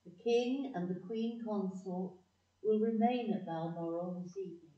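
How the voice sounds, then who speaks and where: A woman's voice: mid-range voice, moderate pace, lightly acted. A woman giving a formal, scripted announcement. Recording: echoey room, distant muffled mic.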